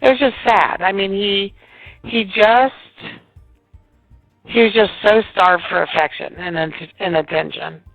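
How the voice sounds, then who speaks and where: An American woman, a teacher, answering questions informally and emphatically over the phone in a gravelly, forceful voice. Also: medium pitch, a little slow, no room sound. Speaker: teacher being interviewed. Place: on a telephone call. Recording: dull phone audio, faint music.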